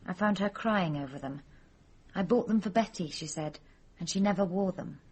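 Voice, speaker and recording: A woman's voice: lowish voice, normal speed, a little mellow, husky, poor audio. A woman playing a frank, composed young woman being questioned in a radio mystery drama. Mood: subdued, frank.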